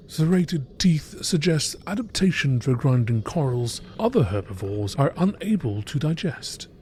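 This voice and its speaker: Higher-pitched man narrating like a nature documentary. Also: mellow, a little hiss or hum.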